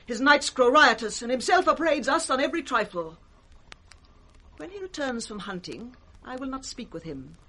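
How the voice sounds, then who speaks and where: Haughty british princess, resentful, sharp highish voice, clipped, 60s radio drama. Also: female voice, lively intonation, normal speed, full character voice. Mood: indignant, cold. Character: imperious, resentful noblewoman, king's hard-hearted daughter. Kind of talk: 1960s radio Shakespeare acting.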